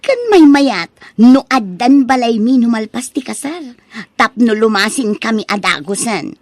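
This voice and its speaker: A woman's voice: on the high side, animated, measured pace, dry recording. A woman playing a vain, money-minded young village bride-to-be in a comic radio drama, with an Ilocano Filipino accent. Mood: haughty. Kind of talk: comedic radio drama acting.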